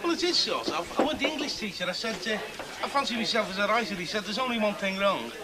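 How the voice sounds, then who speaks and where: An actor playing a cheery working-class chancer with a Liverpool accent and the gift of the gab, chatty and wisecracking in a naturalistic drama. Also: male voice, high voice, lively intonation, fast, a loud crowd. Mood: wry, reflective.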